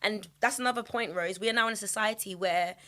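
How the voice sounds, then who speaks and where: A woman hosting a podcast, speaking in a measured way as she guides the conversation along. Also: medium pitch, bright, forceful tone. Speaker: podcast host. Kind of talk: casual chat.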